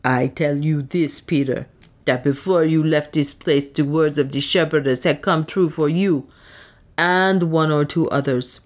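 A woman voicing a fierce male dwarf warrior, gruff and blunt, pitched a little lower. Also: steady tone, measured pace. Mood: indignant. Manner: blunt, indignant.

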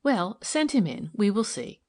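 A woman's voice: mid-range voice, very animated, measured pace, darkish tone, pitched above the performer's own voice. A woman voicing a shrewd, composed, friendly lady investigator in an audiobook, with a light, careless manner. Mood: brisk, good-humoured.

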